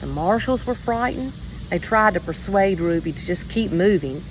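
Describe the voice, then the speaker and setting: A woman reading a children's story aloud in a measured, expressive way with deliberate pauses. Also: medium pitch, a little hiss or hum, rough recording. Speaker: storybook reader.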